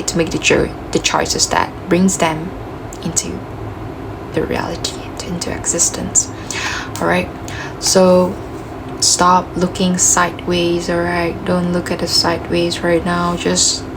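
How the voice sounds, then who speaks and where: A woman with a gravelly voice giving a casual, reflective self-help talk, full of "you know" and "like", her voice cracking as she loses it. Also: fairly low pitch, noisy background. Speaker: motivational speaker.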